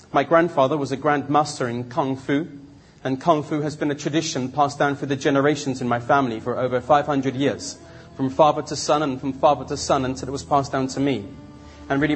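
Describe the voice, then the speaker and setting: Husky Londoner telling his life story, a man. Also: medium pitch. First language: Cantonese. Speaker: speaker giving personal testimony. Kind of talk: autobiographical storytelling to an audience.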